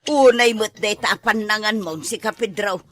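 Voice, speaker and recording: A woman's voice: mid-range voice, normal speed, no echo, close to an everyday voice. A woman playing a blunt, nagging mother-in-law who disapproves of idlers in an Ilocano comedy drama. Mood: critical.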